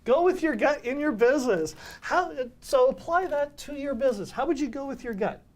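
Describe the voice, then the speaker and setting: A man's voice: very high voice, animated, a little fast. A man hosting a business talk show, prompting his guest and introducing a segment. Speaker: show host.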